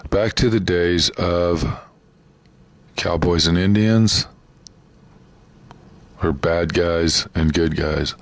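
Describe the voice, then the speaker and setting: A man with a low voice gives a casual host's introduction. He takes his time, very slowly, and repeats words to stress them. The sound is dry and close. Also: no room sound. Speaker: host introducing old radio episodes.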